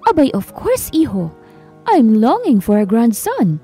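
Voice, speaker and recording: A woman's voice: a little high, wildly swooping pitch, unhurried, warm tone, husky, faint music behind, exaggerated voice. A woman voicing a wealthy older man who insistently orders his son around. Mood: commanding. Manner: authoritative, insistent.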